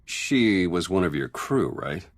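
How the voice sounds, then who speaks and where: Deep-voiced, frank spy. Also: male voice, very animated, slow pace, no echo, heavily acted. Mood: wry, probing. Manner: frank, matter-of-fact. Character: pragmatic, wry male intelligence agent, loyal ally. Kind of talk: video game cutscene acting.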